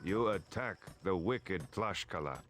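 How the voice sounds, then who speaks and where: An actor playing an earnest Aztec war messenger in a video game, speaking in formal declarations. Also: male voice, low voice, lively intonation, measured pace, a bit bright, a little hiss or hum. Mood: formal, urgent.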